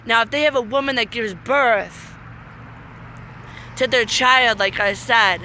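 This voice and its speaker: Man, hoarse and getting sick, slow deliberate delivery, faint background noise, earnest and repetitive. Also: very high voice, bright, forceful tone. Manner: earnest, repetitive, frequent throat clearing. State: losing his voice, getting sick. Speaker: vlogger making a public appeal. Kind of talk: unscripted appeal to camera.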